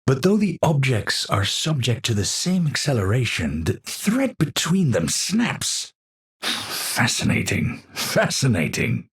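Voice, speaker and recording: An actor playing an eccentric, unstable Dutch astrophysicist in a video game, learned and grandiose, with theatrical, professorial diction. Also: male voice, mid-range voice, slightly rough, full character voice. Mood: curious, pondering. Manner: theatrical, professorial, slow and deliberate wording.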